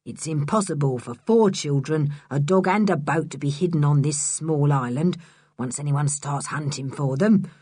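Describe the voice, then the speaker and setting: A woman voicing a gruff, scornful middle-aged man with a hoarse voice in a storybook reading. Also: sits low, normal speed, mellow, dry recording, voice pushed down. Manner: scornful, mocking.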